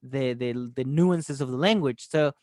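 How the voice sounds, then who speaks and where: A man whose first language is Spanish, a guest comedian talking casually in a halting way with fillers and repeats. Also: mid-range voice, darkish tone, no room sound, studio quality. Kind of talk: casual podcast chat.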